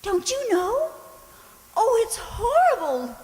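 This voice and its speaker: A woman playing a frightened, naive young maid in a radio drama, speaking gently and hesitantly. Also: very high-pitched, huge pitch swings, slow pace, in a large hall, heavily acted, low-quality recording. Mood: fearful. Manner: hesitant, timid, repeats words.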